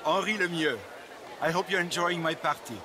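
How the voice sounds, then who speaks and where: A man's voice: high-pitched, steady tone, moderate pace, natural voice. A man voices an urbane, wry city mayor in a video game, speaking. His tone is genteel, set against a noisy party ambience.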